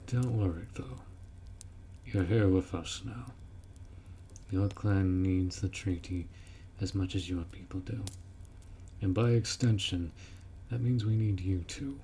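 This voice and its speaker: A man's voice: deep voice, takes it slowly, pauses often, mellow, a little hiss or hum. A man voicing a blunt, protective orc warrior who speaks informally and firmly in a roleplay audio drama. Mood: sympathetic, reassuring. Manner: blunt, informal, firm, instructing.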